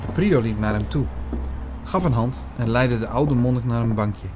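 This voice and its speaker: A man's voice: medium pitch, moderate pace, close to an everyday voice. Man as a priest narrator over background noise. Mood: earnest, watchful. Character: earnest male priest recounting his investigation. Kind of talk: scripted audio drama narration.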